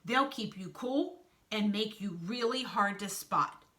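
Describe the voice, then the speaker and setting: A woman's voice: medium pitch, lively intonation, measured pace, sharp tone. A woman voicing a fussy, know-it-all tarantula who explains gadgets emphatically in a children's storybook. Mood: insistent.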